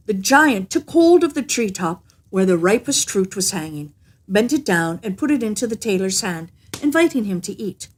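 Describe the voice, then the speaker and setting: A woman reading a fairy tale aloud as an expressive, scripted narration. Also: a little high. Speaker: storyteller.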